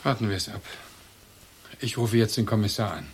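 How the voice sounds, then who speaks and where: A man's voice: lowish voice, brisk, noisy background, lightly acted. An actor playing a stern, insistent widower in a radio crime drama, pressing and pointed in his accusations. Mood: grim, curt. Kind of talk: German radio crime play acting.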